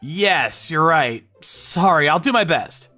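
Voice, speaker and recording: Woman acting as young male boss, manga dub, apologetic, hesitant, animated intonation, bright tone. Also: deep voice, slow pace, no room sound, faint music behind, exaggerated voice. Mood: meekly apologetic. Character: earnest, apologetic young male company CEO, unsure of himself. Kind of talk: anime-style manga story dub.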